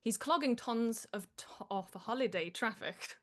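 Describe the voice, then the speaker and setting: A woman's voice: on the high side, expressive, unhurried, fairly bright tone. A woman reading stage directions as the narrator of a live comedy table read.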